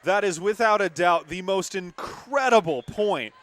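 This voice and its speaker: A man's voice: high-pitched, lively intonation, measured pace, fairly bright tone. A young man calling live volleyball play-by-play from a gym, with emphatic, dramatic calls. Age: young adult. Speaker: play-by-play sportscaster. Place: courtside in a gymnasium.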